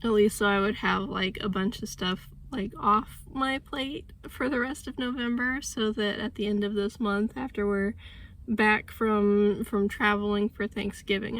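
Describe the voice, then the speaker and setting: Sick woman with a gravelly voice. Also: medium pitch, low-quality recording. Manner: rambling, thinking aloud with many likes. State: sick, voice going, congested. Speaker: author vlogging her writing process. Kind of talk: casual vlog chat.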